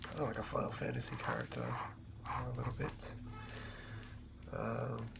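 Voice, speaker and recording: A man giving a whispered, improvised ASMR narration as a game master, close to the mic, murmuring in a halting way as he thinks aloud. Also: mid-range voice, little pitch movement, gravelly, very noisy.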